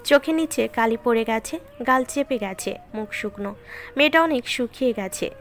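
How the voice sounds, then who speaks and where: A woman's voice: fairly high pitch, lively intonation, normal speed. A woman giving scripted narration of a romantic story. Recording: music behind the voice.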